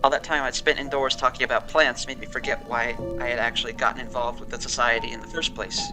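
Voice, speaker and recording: An actor playing a shaken, wary man who recounts a frightening experience in an audio drama, telling it with dramatic pauses. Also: medium pitch, little pitch movement, music in the background, natural voice. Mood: uneasy, haunted. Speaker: interviewee. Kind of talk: audio drama monologue, interview testimony. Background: musical score.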